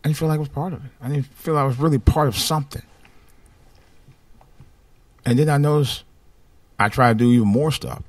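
A man talking casually about his own life, rambling and restarting phrases, with a light voice that has little bass in it. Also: fairly quick, long pauses, no room sound. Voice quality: light voice without much bass. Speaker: podcast host. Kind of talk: casual personal monologue.

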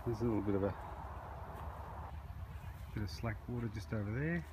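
A man's voice: fairly low pitch, fairly quick, background noise. Easygoing older man narrating a road trip. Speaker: travel vlogger. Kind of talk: casual travel commentary.

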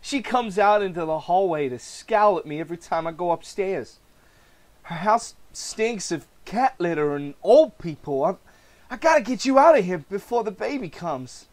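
A man's voice: sits high, animated, moderate pace. A man voicing a distraught, self-pitying young husband in a comic book reading, stammering and pleading. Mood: bitter. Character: anguished, self-pitying failed male comedian husband.

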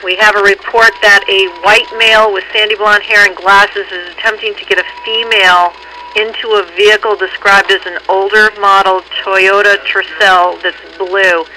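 A male police dispatcher with a high voice comes over a scanner radio. His phrasing is clipped and procedural, and clear noise runs through the transmission. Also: little pitch movement, moderate pace, bright, forceful tone, low-quality recording.

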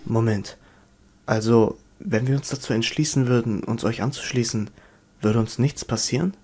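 A man's voice: medium pitch, normal speed, warm tone, close to an everyday voice. A man playing an inquisitive adventurer who talks conversationally in a fantasy audio drama. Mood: questioning. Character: male adventurer, questioning, bargaining with captors.

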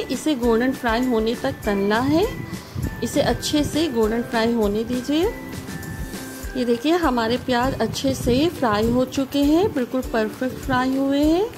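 A woman host giving a friendly step-by-step recipe voice-over, repeating emphatic praise for the dish. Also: high voice. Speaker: cooking channel host. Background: background music.

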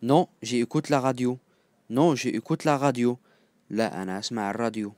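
A man's voice: mid-range voice, darkish tone, dry recording. Dry, close Egyptian male language teacher. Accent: Egyptian Arabic. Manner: repeats each phrase slowly, then glosses it in quick colloquial asides. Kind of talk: phrase-by-phrase language lesson.

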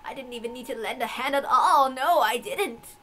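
A woman voicing a kindly, deferential old servant woman with slow, drawn-out speech in a visual novel. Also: high voice, fairly bright tone, a little hiss or hum. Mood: uneasy.

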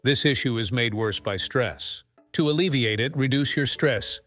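A man's voice: medium pitch, moderate pace, fairly bright tone, faint music behind. A man voicing comic narration and characters in a dry, matter-of-fact manner. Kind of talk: comic dub acting.

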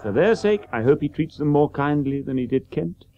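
An actor playing a genial, courteous, wry gentleman cricketer in a radio drama. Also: a little high, animated, normal speed, a faint crowd, slight background noise. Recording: dull old radio broadcast.

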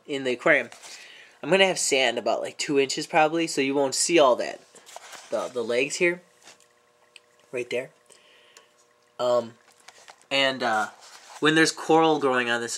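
A man's voice: medium pitch, bright, forceful tone. A man sick with a cold, talking casually in a home vlog, hesitant with many ums and restarts. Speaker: aquarium hobbyist vlogger. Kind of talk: casual show-and-tell vlog. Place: indoors at home.

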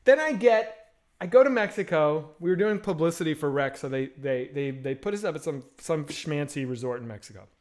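Animated man telling crude stories. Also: fairly high pitch, fairly quick. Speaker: podcast host. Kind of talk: casual chat. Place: home room with bookshelves. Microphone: headset.

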